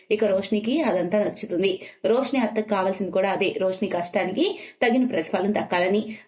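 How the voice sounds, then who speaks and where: A woman narrates a scripted moral story in a medium-pitched voice. She works as a storyteller, presenting the tale as narration.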